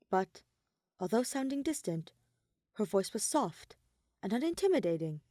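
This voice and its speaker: A woman's voice: mid-range voice, mellow, no room sound. Dry-recorded woman narrating dramatic fiction.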